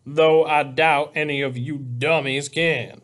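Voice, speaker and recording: A man voicing a big, contemptuous math teacher with a sarcastic manner in an audiobook story. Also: slow pace. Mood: scornful. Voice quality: deep. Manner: sarcastic, sneering.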